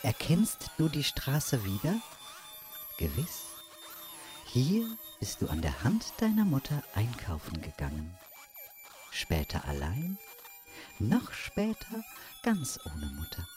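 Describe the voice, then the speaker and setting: Casting: a theatrical, knowing guide, measured and slow, sweeping melodic pitch, experimental audio drama. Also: male voice, wildly swooping pitch, music in the background. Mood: knowing, reflective. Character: knowing, theatrical guide leading through memories. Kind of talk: experimental radio play acting.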